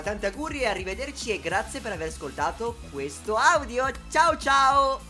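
A man's voice: very high-pitched, big pitch swings, moderate pace, pushed and bright, soft background music. A man proclaiming an exuberant birthday greeting in a bombastic manner.

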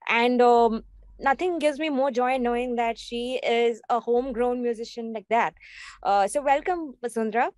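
A woman's voice: fairly high pitch, normal speed, a little mellow, no room sound. Young woman interviewing on a video call. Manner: hesitant, many fillers and restarts. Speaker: radio interviewer.

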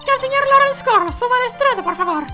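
A man's voice: extremely high pitch, lively intonation, fast, over music, voice pushed up. A man voicing a haughty, impatient young woman prosecutor in a stern, scornful way.

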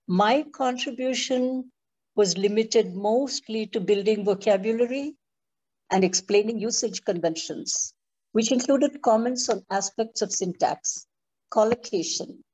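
A woman in her seventies from India, a Tamil speaker, reading a prepared conference talk in a measured, deliberate way. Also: mid-range voice, mellow, poor audio. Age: 70s.

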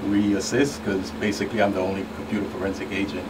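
A man's voice: sits low, little pitch movement, fast talker. A middle-aged man, a forensic agent guest, explaining his work in a radio interview. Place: small radio studio. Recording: distant mic, clear room hum.

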